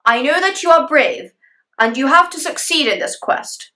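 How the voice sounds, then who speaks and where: A woman voicing a demanding, stubborn male king in a storybook tale, speaking loudly and firmly. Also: high-pitched, animated, moderate pace, pitched above the performer's own voice. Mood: commanding.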